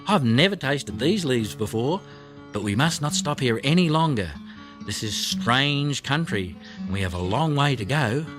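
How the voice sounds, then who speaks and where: A man voicing a helpful, confident female wallaby with a gentle, reassuring manner in a storybook. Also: medium pitch, lively intonation, measured pace, close to an everyday voice. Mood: encouraging. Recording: music behind the voice.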